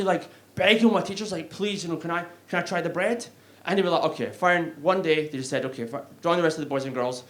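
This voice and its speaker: A young Glaswegian man works a comedy club stage with a handheld mic. His voice sits fairly high, and he rambles in a chatty, self-mocking way, chuckling at his own jokes. The live audience and room noise are clearly audible behind him. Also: a bit bright. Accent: Glaswegian Scottish. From Scotland. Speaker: stand-up comedian. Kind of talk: stand-up comedy set.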